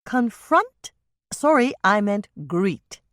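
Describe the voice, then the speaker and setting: A woman reading an audiobook and voicing an eager younger sister who asks excited questions. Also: mid-range voice, slow, dry recording, studio quality, pitched above the performer's own voice.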